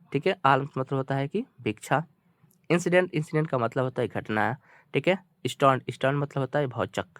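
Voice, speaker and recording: A man's voice: medium pitch, fairly bright tone, dry recording, studio quality. A man with an Indian accent teaching through a story, explaining phrase by phrase with repetition and checking questions. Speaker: English teacher. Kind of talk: bilingual storytelling lesson, translating line by line.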